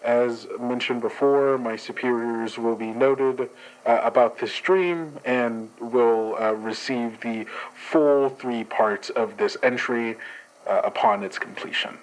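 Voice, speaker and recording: A man's voice: mid-range voice, takes it slowly, close to an everyday voice, rough recording. A man playing a formal, guarded dream investigator who hesitates and speaks in stiff bureaucratic phrasing. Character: formal, guarded male agent analysing dreams. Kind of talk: actual play, in-character report.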